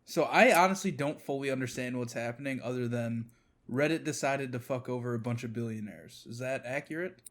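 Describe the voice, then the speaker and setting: A man's voice: medium pitch, a little fast, fairly bright tone. A man chatting in a casual, slangy way as a podcast panelist.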